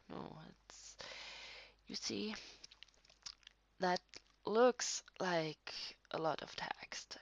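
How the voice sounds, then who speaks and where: A woman improvising an intimate one-sided roleplay as a tired, affectionate writer talking to a partner late at night, halting and breathy, often dropping to a near whisper. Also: lowish voice. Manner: hesitant, halting, with frequent sighs and soft laughs. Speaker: audio roleplay performer.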